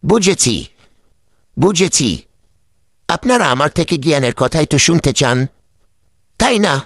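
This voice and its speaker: Casting: male actor for wily cartoon mullah, droll, raised pitch, lively intonation, repeats himself. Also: takes it slowly, no room sound, clean studio sound, heavily acted. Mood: knowing. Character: droll, wily village wise man, a mullah. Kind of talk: animated cartoon dialogue.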